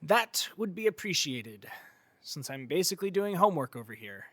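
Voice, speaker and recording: A man's voice: fairly high pitch, sing-song, moderate pace, a bit bright, no echo. A man playing a hesitant, dry-humored young newcomer in a naturalistic audio drama, speaking with understated casualness. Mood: dry, wry. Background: faint music.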